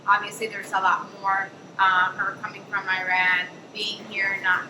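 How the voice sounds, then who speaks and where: A woman hosts a panel in a café and tells an anecdote in a loose, chatty way full of fillers and "I'm like." Her voice sits at a middle pitch. She is picked up from some distance in a reverberant room with clear background noise. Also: monotone, a little slow. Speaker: host and café founder. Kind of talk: casual anecdotal storytelling at a panel. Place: café event space. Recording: echoey room, distant mic, noisy.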